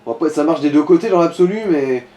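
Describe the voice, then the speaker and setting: A man's voice: on the high side, fast, mellow. A man chatting casually as he gives directions to a player during a let's play.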